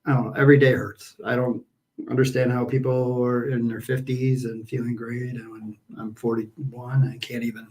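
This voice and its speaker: Brief: forties male co-host, middle pitch, blunt opinionated chat. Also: little pitch movement, moderate pace, a little mellow, low-quality recording. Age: 40s. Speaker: podcast co-host. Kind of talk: casual wrestling podcast chat.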